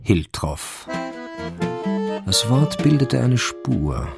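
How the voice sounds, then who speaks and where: A man narrating a literary crime story for a radio drama in a creaky voice. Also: mid-range voice, sing-song, very slow, over loud music.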